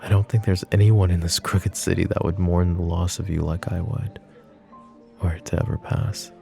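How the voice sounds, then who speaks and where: A man voicing a possessive, flirtatious mafia boss in an ASMR roleplay drama, with a low, soft, teasing drawl. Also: level tone, moderate pace, creaky, soft background music. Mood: coaxing. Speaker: voice actor.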